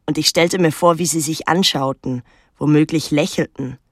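An actress playing a wary, withdrawn teenage daughter who narrates her island story in a measured, introspective radio drama style. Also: low-pitched, no echo, studio quality. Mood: reflective.

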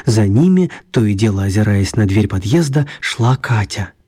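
Mellow husky male narrator. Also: lowish voice, moderate pace. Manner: even, storytelling. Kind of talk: scripted storybook narration.

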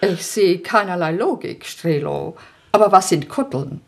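A husky, arch flirt. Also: female voice, lowish voice, expressive, a little slow. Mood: amused, storytelling. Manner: teasing, mannered, sprinkled with English words. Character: flirtatious, arch, worldly lady collector. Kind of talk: radio play acting. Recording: music behind the voice.

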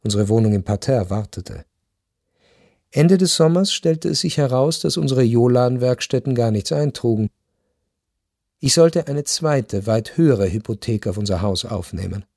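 A reflective husband narrates a radio play. His middle-pitched voice is measured and restrained, holding back hurt, in a very dry close recording. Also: expressive, vocal fry, a little mellow, no echo. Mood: wistful, restrained. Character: reflective, wounded husband recounting his past. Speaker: first-person narrator.

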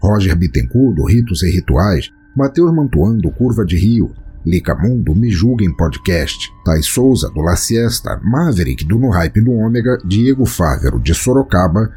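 A man's voice: lowish voice, big pitch swings, moderate pace, few pauses, warm tone, husky. A Brazilian man hosting a podcast, reading a scripted introduction in a grandiloquent, tongue-in-cheek theatrical manner. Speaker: podcast host.

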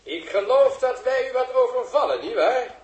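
In a roomy vintage radio play, a fussy publicity showman. His high voice swings up and down as he bosses people about with theatrical polish. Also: animated, moderate pace. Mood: showy, polite. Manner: pushy, theatrical, switches to showy politeness. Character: fussy, bossy, exasperated male publicity show host. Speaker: show presenter directing performers. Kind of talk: 1960s Dutch radio drama acting. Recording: roomy old radio drama recording.

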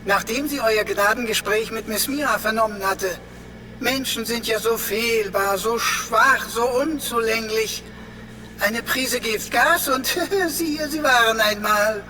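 An actor playing a cocky computer sidekick in a radio drama, pompous and mock-theatrical, with florid phrasing and comic slips of the tongue. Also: male voice, very high-pitched, unhurried, bright, forceful tone, slight background noise, heavily acted, rough recording. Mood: boastful, gleeful.

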